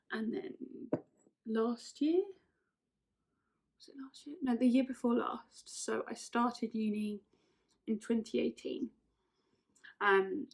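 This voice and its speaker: A woman's voice: mid-range voice, creaky, slightly rough. A woman in her twenties, chatting casually and rambling through get-to-know-me questions, often correcting herself. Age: 20s. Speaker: vlogger.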